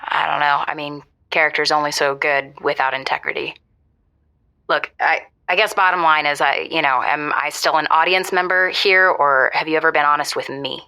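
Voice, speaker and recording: A woman's voice: sits low, fairly even intonation, normal speed, pushed and bright. An actress playing a candid, skeptical woman caller in a naturalistic audio drama, conversational and probing. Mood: disappointed, frank. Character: candid, skeptical woman caller gently challenging her date.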